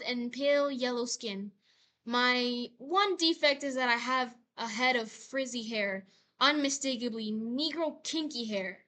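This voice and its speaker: A young woman voicing a tormented, self-loathing young intellectual in a measured dramatic reading. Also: fairly high pitch, sharp tone.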